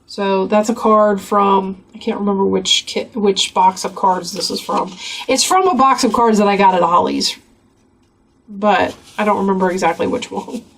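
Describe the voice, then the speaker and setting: A woman with a gravelly voice from a cold, chatting casually as she flips through a craft journal. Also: medium pitch, moderate pace, low-quality recording. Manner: chatty, rambling, with many fillers. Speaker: craft channel host.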